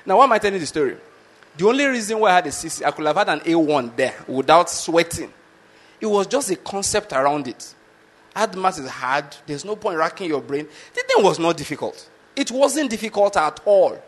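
A man's voice: on the high side, very animated, brisk, a bit bright. A man from Nigeria with a Nigerian accent, a lecturer whose first language is Yoruba, telling a casual story with frequent chuckles and rhetorical questions to the listener. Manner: chuckling, rhetorical, often asks "you understand my point?". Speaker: medical lecturer.

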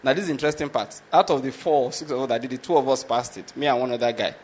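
A man's voice: medium pitch, rapid-fire, slight background noise. Nigerian man telling a chuckling story. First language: Yoruba. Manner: chuckling, rhetorical, often asks "you understand my point?". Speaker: medical lecturer. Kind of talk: casual storytelling monologue.

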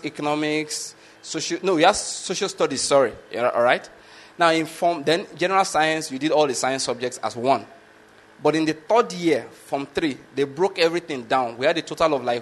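A Nigerian man with a slightly higher-than-usual voice tells an easygoing story from his school days. He chuckles often and keeps checking in with the listener through rhetorical questions. His first language is Yoruba, and it shows in his accent. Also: fairly quick. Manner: chuckling, rhetorical, often asks "you understand my point?". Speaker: medical lecturer. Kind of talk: casual storytelling monologue.

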